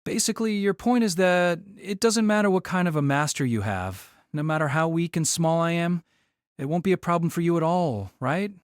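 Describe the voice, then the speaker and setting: A man's voice: on the high side, normal speed, clean tone, dry recording, clean studio sound, close to an everyday voice. A man voicing an insecure young student mage in an audio drama, exasperated and sulky. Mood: doubtful.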